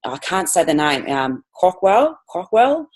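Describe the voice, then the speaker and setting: A woman's voice: fairly low pitch, big pitch swings, measured pace, a little mellow. Australian woman guest, gym teacher, eager, rambling, fillers and restarts. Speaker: guest, physical education teacher. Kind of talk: casual podcast interview.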